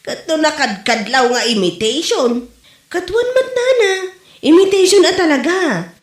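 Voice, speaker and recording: A woman plays a gossipy, indignant female co-worker in an Ilocano comedy drama. Her high voice swings up and down with exclamations, in an Ilocano Filipino accent, over a roomy recording. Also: sing-song, normal speed. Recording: roomy, echoey room sound.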